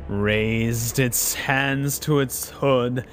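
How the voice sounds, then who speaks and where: A man's voice: medium pitch, steady tone, very slow, slight background noise. A man narrating an adventure serial in a dramatic, old-time style, melodramatic and suspenseful. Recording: prominent music behind the voice.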